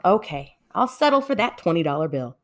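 A woman voicing a clever, mischievous male fox salesman with excitable, wheedling patter in a storybook. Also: fairly low pitch, fairly quick. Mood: persuasive.

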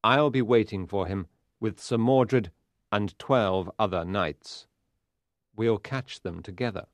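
A man playing a scheming, accusing knight in a storybook reading, deliberate and forceful. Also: lowish voice, no echo. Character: scheming, accusing male knight of King Arthur's court.

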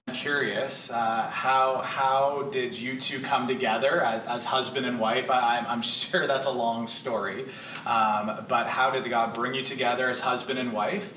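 A man's voice: medium pitch, steady tone, a little slow, bright, forceful tone. Chuckling man interviewer, stumbling questions. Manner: friendly, laughs often, restarts questions. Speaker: church interviewer. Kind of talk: casual interview. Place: church lounge with sofas, indoors. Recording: roomy echo, distant mic, clear hiss.

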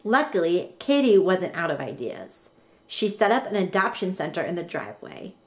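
A woman reading a children's picture book aloud in an expressive, deliberate way with dramatic pauses. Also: lowish voice. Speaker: storybook reader.

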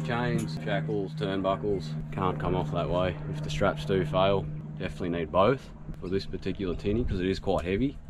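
A man in his thirties with an Australian accent, casually walking through a homemade build outdoors with relaxed, practical talk. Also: deep voice. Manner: relaxed, practical, with frequent fillers. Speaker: vlogger. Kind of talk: casual how-to walkthrough. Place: outdoors in a grassy bush camp. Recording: outdoor noise behind the voice.

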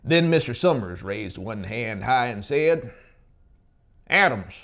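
Animated male narrator with a creaky edge. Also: medium pitch, unhurried. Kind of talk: dramatic audiobook narration. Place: small dark indoor studio. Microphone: lapel microphone. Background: music.

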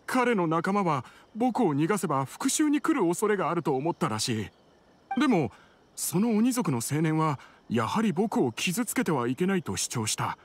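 A man's voice: on the high side, big pitch swings, moderate pace. A man playing a frightened, cautious villager in an anime video game, explaining things earnestly. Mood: shaken, relieved. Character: frightened young male villager, robbed and cautious. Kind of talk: Japanese anime game voice acting. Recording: background music under voice.

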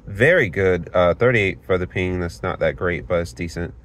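A man's voice: low-pitched, moderate pace, fairly bright tone. A man sitting in a parked car, recording with a handheld phone, casually talking through network speed tests and reading results off the screen. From Florida, United States. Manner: plain and explanatory, reads numbers off the screen. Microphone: handheld phone camera.

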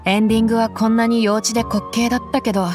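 A woman's voice: a little high, level tone, rapid-fire, natural voice. An actress playing a tough, reflective desert mercenary woman, speaking in a blunt, tomboyish way in anime game style. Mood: reflective, sincere. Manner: blunt, tomboyish rough speech. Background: background music.

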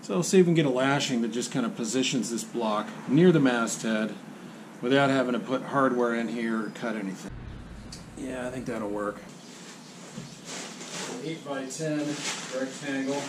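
A man's voice: mid-range voice, normal speed, background noise. A man in his fifties building and rigging a small sailboat, thinking aloud in short, musing phrases as he works. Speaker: boat builder. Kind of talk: talking to himself while working. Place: outdoors in a driveway and on a boat on a lake. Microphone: action camera on the boat.

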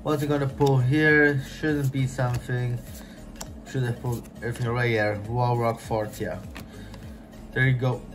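A young man giving casual running commentary as he opens trading card packs, chatty, excited on big pulls and low on misses, recorded at home on a desk mic. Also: normal speed, music in the background. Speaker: card-opening youtuber. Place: home room, indoors.

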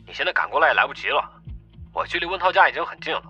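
A man playing a menacing, hot-tempered young gangster with a rough voice in an audiobook drama. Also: a little high, very animated, rapid-fire, muffled recording, faint music behind, full character voice. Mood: brisk, tough. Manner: threatening, forceful.

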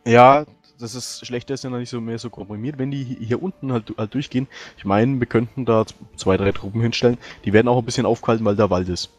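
A man playing a tabletop game, casually talking tactics with quick agreements and restarts. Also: mid-range voice, steady tone, faint music behind. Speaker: tabletop player. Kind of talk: casual strategy discussion.

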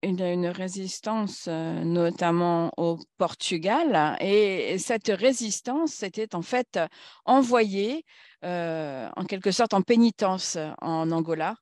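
A woman speaking at a conference in a formal political talk, halting and searching for words as she goes. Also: lowish voice, darkish tone, no echo, studio quality.

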